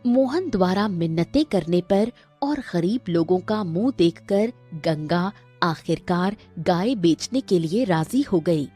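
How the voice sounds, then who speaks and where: Mellow, unhurried woman narrating a story. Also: on the low side, animated, soft background music. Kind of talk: scripted children's story narration.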